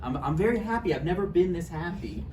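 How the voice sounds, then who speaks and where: Woman acting defensive, eager boyfriend, low pitch, conversational stage table read, roomy distant recording. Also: expressive, fast talker. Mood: tender. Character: Roger, nervous joking boyfriend, defensive and eager to please. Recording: roomy, distant mic, faint noise.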